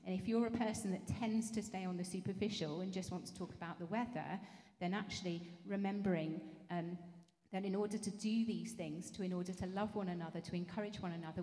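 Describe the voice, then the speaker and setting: A woman giving a measured, explanatory answer as a speaker in a church question session. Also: medium pitch, a little mellow. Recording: echoey hall with long reverb.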